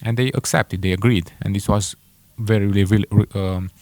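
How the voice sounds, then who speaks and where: A young man with a Romanian accent telling his own story to camera, halting and correcting himself with many fillers. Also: on the low side, fairly even intonation, darkish tone, dry recording. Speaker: entrepreneur vlogger. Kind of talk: casual personal story to camera.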